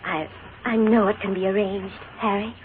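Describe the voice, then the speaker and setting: A female actor plays a sincere young woman in an old radio western. Her delivery is gentle and earnest with dramatic pauses, at an average pitch. The recording is a tinny 1940s broadcast with faint noise. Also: level tone, natural voice. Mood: tender, earnest.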